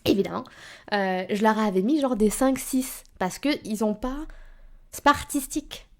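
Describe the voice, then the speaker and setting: A woman co-hosting a book podcast in casual chat, thinking aloud and pausing on fillers as she speaks. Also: mid-range voice, a little mellow. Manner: thoughtful, hesitant with many fillers. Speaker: podcast co-host. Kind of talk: casual chat about books.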